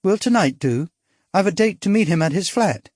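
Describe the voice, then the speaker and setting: A man's voice: high voice, lively intonation, normal speed, a little mellow, no echo, clean studio sound, voice pushed up. A man voicing an arch, daring young actress. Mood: arch, playful. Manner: arch, playful, theatrical. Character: witty, daring young upper-class Englishwoman, an actress.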